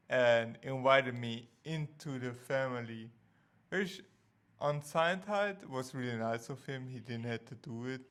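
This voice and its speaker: A young man from Austria with a German accent, telling his side of a story in a measured, careful way with dry humor. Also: mid-range voice, a bit bright, smooth. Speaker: co-host, husband. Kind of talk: casual storytelling to viewers.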